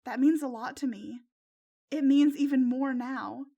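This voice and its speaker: A woman voicing a loyal, excitable teenage girl who gushes like a superhero fan in a narrated story. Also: high-pitched, unhurried, lo-fi sound. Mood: sincere. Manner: gushing, squealing when excited.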